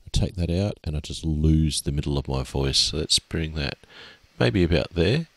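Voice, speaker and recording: Middle-aged man, very low gravelly voice, dry close mic, patient walkthrough teacher. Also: moderate pace, dry recording. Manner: steady step-by-step explaining with fillers. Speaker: music tech teacher. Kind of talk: screen-recorded software tutorial.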